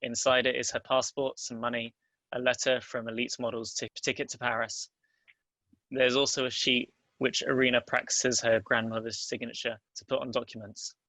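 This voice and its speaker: A man narrates a screenplay, reading out scene headings and action. His voice is bright and crisp in tone with fairly even pitch, recorded close and dry. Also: normal speed, dry recording, poor audio. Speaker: narrator reading stage directions.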